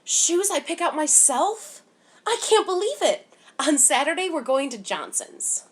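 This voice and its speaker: A woman's voice: sits high, sing-song, unhurried, pitched above the performer's own voice. A woman voicing an earnest, hopeful young girl in a children's storybook, speaking expressively. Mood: excited disbelief.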